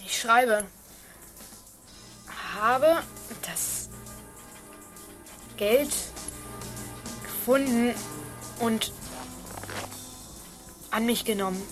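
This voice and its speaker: Slow-spoken youngster over music. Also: female voice, a little high. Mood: deliberate. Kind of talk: German children's radio play acting. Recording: music playing behind the voice.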